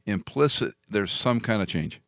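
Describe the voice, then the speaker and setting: A man's voice: fairly low pitch, animated, normal speed, gravelly, a bit bright, no room sound, studio quality. A man hosting a theology talk show, speaking emphatically and building his points with rhetorical repetition. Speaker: radio talk show host. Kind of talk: conversational theology discussion.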